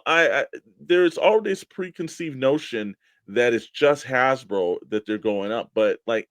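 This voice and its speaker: A man's voice: medium pitch, dry recording. A man co-hosting a hobby show, talking casually and explaining his opinions at length. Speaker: co-host of a toy collecting show.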